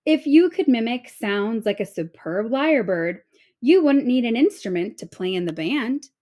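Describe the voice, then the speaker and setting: A woman reading an informational children's book aloud like a teacher, with expressive, clearly phrased delivery. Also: fairly high pitch, normal speed.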